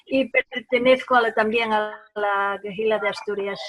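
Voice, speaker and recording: A woman's voice: mid-range voice, fairly even intonation, measured pace. A woman casually introducing herself as a participant in an online class. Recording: dull video-call audio.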